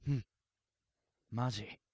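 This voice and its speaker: A woman playing an easygoing, teasing high school boy in an audio drama, with a light, self-deprecating, joking way of talking. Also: slow pace, darkish tone, no room sound, clean studio sound, lightly acted. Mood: gentle, candid. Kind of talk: anime-like Japanese audio drama acting.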